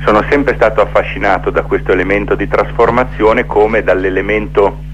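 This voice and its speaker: Middle-aged male guest, lowish voice, reflective storyteller on a muffled phone-in with faint hum. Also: steady tone, normal speed, fairly bright tone. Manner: reflective, builds rhythmic lists. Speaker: theatre maker interviewed as guest. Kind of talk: radio interview, storytelling. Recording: dull, noisy phone-in with hum.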